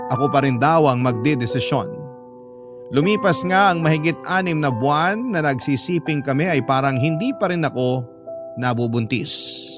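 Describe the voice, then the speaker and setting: A man's voice: medium pitch, lightly acted. A man narrating as an earnest, sentimental letter writer confiding a love story in a dramatic first-person radio style. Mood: puzzled. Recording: music bed under narration.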